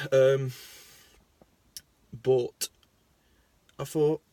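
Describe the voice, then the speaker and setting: A young man with a Lancashire accent, rambling casually into his phone in a parked car, self-mocking, jumping between loud bursts and mumbled asides. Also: medium pitch, little pitch movement, drawn-out words, dry recording. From Lancashire, England. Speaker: vlogger.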